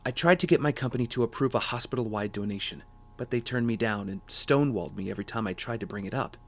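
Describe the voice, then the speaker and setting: A man's voice: mid-range voice, fast talker, a bit bright. An actor playing an earnest, apologetic wealthy young man in an audio drama, speaking sincerely and politely.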